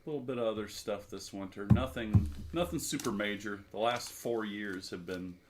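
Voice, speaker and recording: A man's voice: on the low side, moderate pace, loud music behind the voice. A middle-aged man telling anecdotes in a casual podcast chat. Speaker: podcast panelist.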